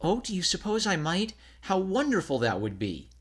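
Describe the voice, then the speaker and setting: A dreamy young fir tree from a storybook, voiced by a man. The pitch is raised and youthful. It speaks with naive, wistful earnestness. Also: huge pitch swings, moderate pace, a bit bright, husky, full character voice. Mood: hopeful wonder.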